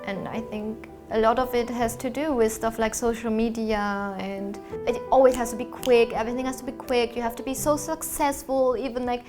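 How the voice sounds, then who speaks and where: A woman's voice: a little high, steady tone, moderate pace, hardly any pauses, music in the background. A woman from Germany, a panel guest, giving thoughtful, analytical opinions. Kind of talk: candid discussion.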